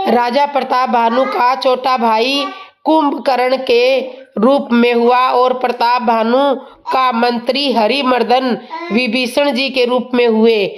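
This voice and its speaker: A woman with a sore, hoarse throat telling a devotional story in a slow, humble way. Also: fairly high pitch, slight background noise. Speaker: storyteller of religious tales.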